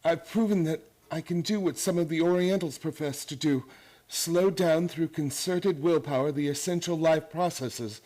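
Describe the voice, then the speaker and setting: A man's voice: on the high side, moderate pace, mellow, close to an everyday voice, rough recording. A man acting in a radio play as an obsessive, grandiose believer in his own mental powers, lecturing intensely and emphatically. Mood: fervent lecturing.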